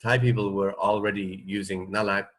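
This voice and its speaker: Male co-host, middle-aged, lower voice, loose conversational delivery that feels its way through each thought. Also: little pitch movement, a bit bright. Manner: tentative, halting, thinking aloud. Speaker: radio show co-host. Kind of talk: casual chat.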